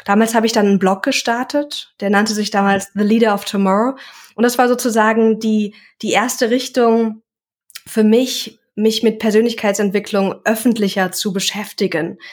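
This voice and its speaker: A woman's voice: mid-range voice, fairly even intonation, moderate pace. A young woman, a self-employed coach, talking reflectively in a casual interview and now and then searching for words.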